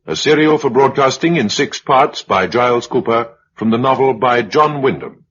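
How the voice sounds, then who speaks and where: A man's voice: mid-range voice, animated, normal speed, a bit bright, slightly rough. A man with an English accent, reading scripted closing credits formally as a radio announcer. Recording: dull vintage broadcast. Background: music.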